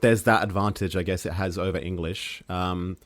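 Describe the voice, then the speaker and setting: A man's voice: low-pitched, fairly even intonation, moderate pace, dry recording. A man whose first language is Serbian, a musician chatting as a guest, rambling with many fillers and restarts. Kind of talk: casual interview chat.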